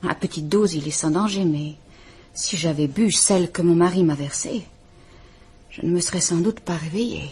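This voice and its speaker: An actress playing a frightened, formal estranged wife who is visiting a police inspector, speaking in a restrained, measured way. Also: female voice, fairly low pitch, darkish tone, a little hiss or hum, close to an everyday voice. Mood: fearful, measured. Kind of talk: 1950s French radio drama acting.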